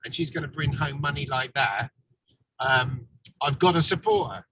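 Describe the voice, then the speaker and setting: A man's voice: mid-range voice, moderate pace, fairly bright tone. A man speaking bluntly and plainly over a video call while driving his car. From England. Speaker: guest's husband. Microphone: phone on a video call. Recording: distant, noisy car call.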